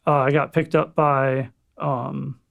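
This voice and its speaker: Gravelly-voiced young man, chatty. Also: medium pitch, slow pace, narrow, tinny audio. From Florida, USA. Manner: relaxed, rambling, swears casually. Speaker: podcast guest, firefighter paramedic. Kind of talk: casual chat.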